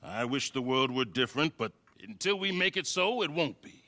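An actor playing a stern, self-righteous frontier sheriff who gives slow, measured threats with sudden swells. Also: male voice, medium pitch, lively intonation, bright, forceful tone. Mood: menacing. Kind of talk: video game cutscene acting.

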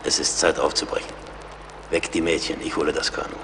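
Deep-voiced, seasoned frontier scout, acted by a man. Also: little pitch movement, brisk. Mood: hushed, alert. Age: middle-aged. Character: seasoned frontier scout, wry, plain-spoken, watchful, loyal. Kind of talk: German audio drama acting. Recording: reverberant, noisy outdoor-like ambience.

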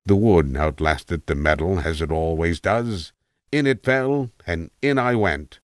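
A man's voice: sits low, unhurried, no echo, clean studio sound. A man voicing an eccentric, opinionated old tramp telling a rambling tale in an emphatic, self-assured way, as an audiobook character. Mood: reminiscing, animated. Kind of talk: acted audiobook character monologue.

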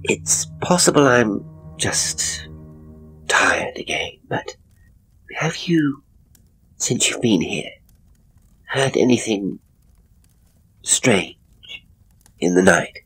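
A man voicing an ailing, frightened married woman who speaks in a halting, hushed way in a horror story. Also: medium pitch, sing-song, husky, pitched above the performer's own voice, full character voice, low-quality recording. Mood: hushed, uneasy.

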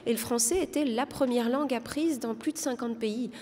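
A woman in her thirties making her case as a panelist in a televised debate, speaking into a desk microphone, articulate but pausing often to find her words. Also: fairly high pitch, darkish tone. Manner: articulate, persuasive, frequent hesitations. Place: large studio hall with audience. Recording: long reverb of a large hall.

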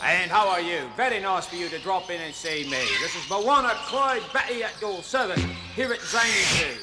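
Brief: a chummy showman zoo host, high-pitched and gushing, over music. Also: lively intonation, moderate pace. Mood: welcoming. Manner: chummy, gushing, talks to the audience and animals. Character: jolly chatty male zoo host showman. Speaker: zoo show host character. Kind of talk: comedy sketch acting.

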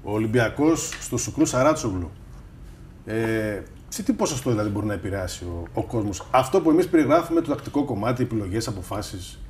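A man's voice: on the low side, animated, darkish tone, slight background noise. A man hosting a football panel who chimes in and finishes others' sentences. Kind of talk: studio panel discussion.

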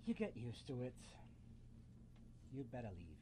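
A man's voice: mid-range voice, huge pitch swings, a little slow, mellow. Man playing a sullen broken toy, noisy recording. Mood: grumpy. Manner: gruff, dismissive, sullen. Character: grumpy, defensive broken male toy, a loner hiding away. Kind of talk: student radio play acting. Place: college radio studio, live broadcast. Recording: clear background noise in radio studio.

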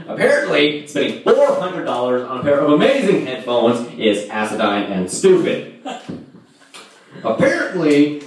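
A young man doing a casual amateur stand-up routine in a halting, rambling, self-deprecating and profane way. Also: a little high, slight background noise. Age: young adult. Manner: profane, vulgar. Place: indoors, small home room. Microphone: distant camera, handheld microphone in view. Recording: echoey room, far from camera.

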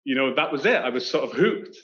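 A Scottish man in his thirties, an architect talking casually in an interview over a video call, animated and full of restarts. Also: medium pitch, a little fast. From Scotland. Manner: animated, gestures with hands, many restarts. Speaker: architect guest. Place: indoor room on video call. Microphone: video call webcam. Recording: dull lo-fi call audio.

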